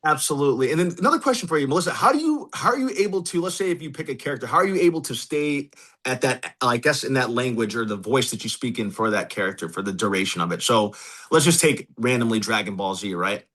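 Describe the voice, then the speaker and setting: Bright-voiced man podcast host. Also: medium pitch, animated, fast. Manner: rambling questions, self-correcting, friendly. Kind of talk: casual interview chat.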